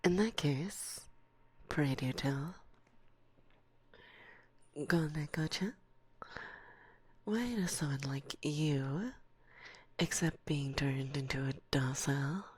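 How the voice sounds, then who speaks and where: A woman's voice: deep voice, slightly rough, exaggerated voice. A woman playing a teasing, domineering forest witch in an ASMR roleplay, speaking breathily and close to a binaural microphone, slipping into whispers. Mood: musing, amused. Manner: teasing, condescending, slow and deliberate, often slipping into whispers.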